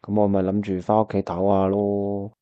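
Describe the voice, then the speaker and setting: A man's voice: lowish voice, monotone, normal speed, highs cut off, natural voice. A man with a Hong Kong Cantonese accent acting a disheartened, wistful time traveller who narrates his own radio drama. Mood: resigned, grumbling. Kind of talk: first-person radio drama narration and dialogue. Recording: music playing behind the voice.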